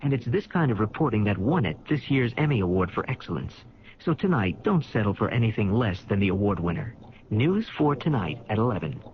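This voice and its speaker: An American male announcer delivers a scripted promo with lots of movement in a medium-pitched voice. The recording is dull and hissy, like old radio. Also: sing-song, fairly quick. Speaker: commercial announcer.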